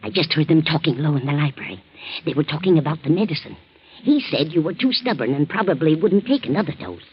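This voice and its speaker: An actress playing an anxious, loyal female servant in a 1940s radio drama, husky and hesitant, on a muffled old recording. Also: low-pitched, lively intonation, quick pace, exaggerated voice. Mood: conspiratorial. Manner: hesitant, deferential.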